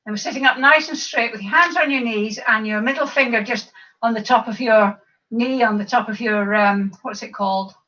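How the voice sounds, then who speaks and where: A woman over fifty with a croaky voice, leading a seated exercise class, counting reps and adding chatty asides. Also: fairly high pitch, moderate pace, fairly bright tone. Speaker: fitness instructor. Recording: distant mic, dull lo-fi sound.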